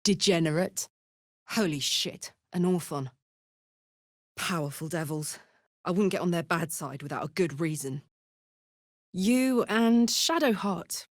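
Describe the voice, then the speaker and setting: A woman playing a brash, warm-hearted tough warrior in a video game, blunt and sweary with a casual swagger and earnest feeling. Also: on the low side, dry recording, studio quality. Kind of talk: video game character voice lines.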